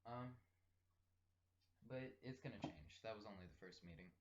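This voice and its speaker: A teenage male recording a casual, rambling spoken diary, thinking aloud in halting short phrases. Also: lowish voice, lively intonation, highs cut off, background noise. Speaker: personal audio log host.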